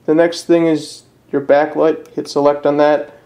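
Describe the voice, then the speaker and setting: A man giving a casual step-by-step product tutorial in a plain, unpolished, slightly halting way. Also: on the high side, level tone, warm tone. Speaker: presenter of a how-to video.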